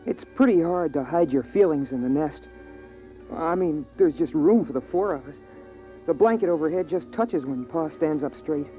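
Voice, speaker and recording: A man's voice: a little high, expressive, normal speed, lightly acted. A young male actor playing an earnest, wondering boy in a 1950s radio drama, with plain, homespun phrasing. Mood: reflective. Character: earnest, wondering young boy narrating a bleak frozen world. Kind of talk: 1950s radio drama acting and first-person narration. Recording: tinny vintage radio recording with music.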